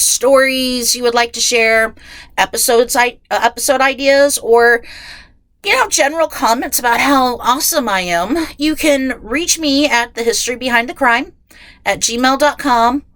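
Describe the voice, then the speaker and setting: A woman's voice: on the high side, fairly bright tone, dry recording. A woman hosting a casual, chatty solo podcast, sounding nasal and congested from a head cold, rambling along in a self-deprecating way, recorded inside a closet. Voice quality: nasal, stuffed-up. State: getting over a head cold. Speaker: podcast host.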